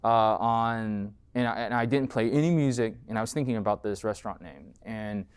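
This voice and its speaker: The smooth-voiced American guy at the front of a college classroom, chatting students through how he runs his business. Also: lowish voice, normal speed. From United States. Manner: conversational, peppered with "like" and "right?". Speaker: entrepreneur giving a guest lecture. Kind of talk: informal talk and Q&A.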